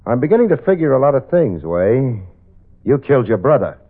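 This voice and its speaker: A man's voice: mid-range voice, big pitch swings, a little fast. An actor playing a hard-boiled male private eye who narrates in clipped, wry lines, in 1940s radio acting style. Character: tough adult male private eye, wisecracking. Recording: tinny old radio broadcast with music.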